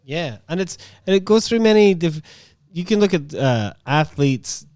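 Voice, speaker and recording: A man's voice: a little high, lively intonation, moderate pace, a little mellow, no echo, clean studio sound. A man in his thirties with an Australian accent, a musician in casual talk, blunt and plain-spoken with frequent swearing. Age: 30s. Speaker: musician guest. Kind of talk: casual chat.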